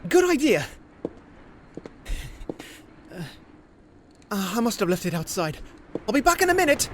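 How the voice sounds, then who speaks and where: A man's voice: extremely high pitch, very animated, fast talker, a little hiss or hum. A man playing an earnest, dreamy young boy in an audio drama. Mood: curious, eager.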